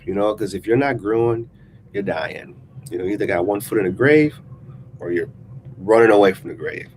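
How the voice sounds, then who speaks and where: Nasal, low-voiced man coaching casually. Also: darkish tone, slight background noise. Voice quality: nasal from congestion. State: congested, recovering from a sinus infection. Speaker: relationship coach hosting a vlog. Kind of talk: casual motivational chat, reading out a subscriber email. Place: small-town coffee shop.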